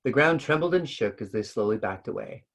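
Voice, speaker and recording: A man reading a children's story aloud with expressive narration. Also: mid-range voice, brisk, creaky. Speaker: story reader.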